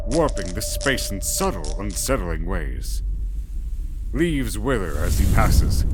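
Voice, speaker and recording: A male narrator tells a scripted story in a dramatic style. His voice sits at a middle pitch, with prominent music underneath. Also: low-quality recording.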